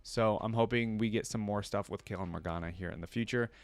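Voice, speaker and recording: Man, low voice, dry sound. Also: brisk, no echo. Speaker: reaction video host. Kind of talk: casual reading aloud and commentary.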